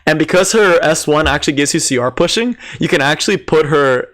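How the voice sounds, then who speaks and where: A man with a raspy, strained voice reviews a game update on a casual live stream, chatting with viewers and keeping his delivery subdued. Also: fairly high pitch. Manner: chatty, answers chat, many "like" fillers; deliberately subdued, near monotone.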